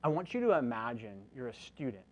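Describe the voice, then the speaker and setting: Casual, energetic man giving a keynote. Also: mid-range voice, brisk, muffled recording. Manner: energetic, casual and chatty, many "like" fillers. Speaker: keynote speaker. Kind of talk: conference keynote talk. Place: large lecture hall, indoors. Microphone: lapel mic.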